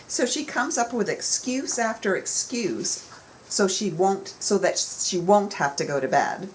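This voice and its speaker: A woman's voice: medium pitch, lively intonation, measured pace, low-quality recording. A woman children's author giving an instructional talk to young students, explaining with emphasis in a storytelling way. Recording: clear background noise.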